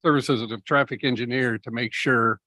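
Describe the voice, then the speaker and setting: A city staff member explaining budget items at a public meeting, measured and explanatory, with many fillers. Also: male voice, mid-range voice, steady tone, pushed and bright, no echo, clean studio sound. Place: meeting room.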